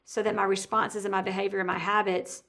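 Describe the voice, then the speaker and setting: A woman hosting a casual podcast interview, talkative and thinking out loud, often correcting herself as she goes. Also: medium pitch, flat delivery, quick pace, gravelly.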